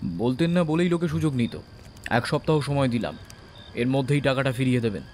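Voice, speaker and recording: An actor as a cold, curt male heir with a medium-pitched voice, laying down terms firmly in radio drama style. Faint noise and ambience sit behind him. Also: brisk, darkish tone, close to an everyday voice. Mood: stern, demanding. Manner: firm, cold, demanding. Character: stern, curt businessman's son demanding repayment. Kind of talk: audio drama acting.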